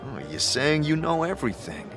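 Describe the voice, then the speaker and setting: A man's voice: on the high side, big pitch swings, normal speed, over music, pitched above the performer's own voice. A man playing a wry, easily flustered adventurer in an audio drama, with a casual way of talking. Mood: teasing. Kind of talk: audio drama role-play.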